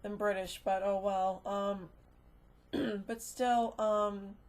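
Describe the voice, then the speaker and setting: This is a woman with a medium-pitched voice, speaking off the cuff as a casual reviewer. She rambles and halts as she goes. Her phrases often trail away into loose filler. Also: fairly even intonation, slow, darkish tone. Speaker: movie reviewer. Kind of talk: casual unscripted video review.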